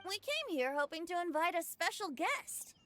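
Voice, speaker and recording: A woman's voice: very high-pitched, sing-song, moderate pace, bright, forceful tone, exaggerated voice. A woman voicing a cheerful, carefree boyish young bard in an anime video game, playful and poetic. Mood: coaxing. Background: game music.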